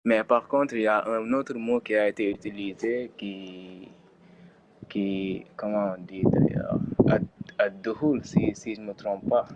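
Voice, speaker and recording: A man's voice: on the low side, steady tone, a little slow, background noise. A man calling into a live debate, hesitant and stammering over his words.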